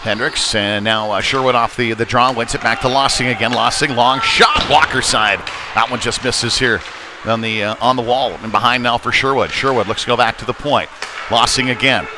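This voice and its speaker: Man calling hockey over loud arena noise. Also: medium pitch, normal speed. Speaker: play-by-play hockey announcer. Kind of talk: live sports commentary. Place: indoor ice arena. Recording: live rink broadcast with loud background noise. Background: loud arena ambience.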